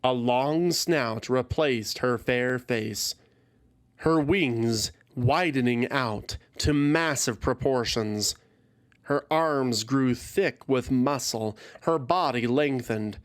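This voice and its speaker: A man reading scripted fantasy fiction as a narrator, in a solemn, deliberate and dramatic way. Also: medium pitch.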